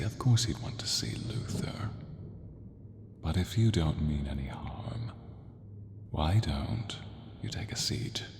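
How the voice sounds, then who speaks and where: Man acting a very low, slow, echoing doctor. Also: background noise, exaggerated voice. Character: calm, commanding male doctor and sinister experimenter. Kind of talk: audio roleplay acting.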